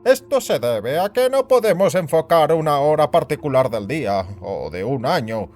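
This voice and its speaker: An overbearing big boss played by a male narrator in an audio drama. The voice is raised high and swings widely in pitch, lecturing with emphatic, condescending disapproval. Music plays behind it. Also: big pitch swings, moderate pace, a little mellow. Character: overbearing big burly male institute director, perpetually disapproving.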